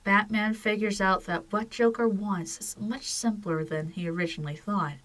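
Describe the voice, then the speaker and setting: A woman giving dramatic scripted narration for an audio drama, in a measured and solemn manner. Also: mid-range voice, steady tone, fairly bright tone.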